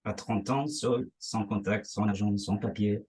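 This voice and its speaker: A man reading his own life story aloud in measured, line-by-line phrases. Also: on the low side, fairly even intonation, darkish tone, breathy edge. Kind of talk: reading a prepared text aloud.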